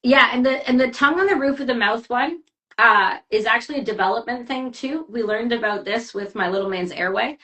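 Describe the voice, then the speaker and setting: A woman working as a health coach, giving advice in a chatty podcast conversation with quick asides. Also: on the high side, sharp tone. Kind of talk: casual podcast chat.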